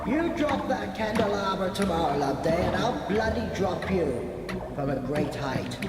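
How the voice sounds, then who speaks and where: An actor playing a pompous, blustering British lord in an old-style radio play. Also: male voice, sits high, big pitch swings, moderate pace. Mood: irritable. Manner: pompous, old-fashioned upper-class phrasing. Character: pompous, blustering English lord, secret cult leader. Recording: echoey hall with faint noise.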